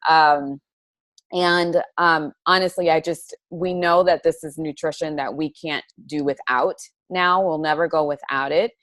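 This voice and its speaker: A woman's voice: sits low, steady tone, unhurried, fairly bright tone, dry recording. A woman in her forties sharing an earnest, chatty personal testimonial over a webcam video call. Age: 40s. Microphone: laptop webcam on a video call.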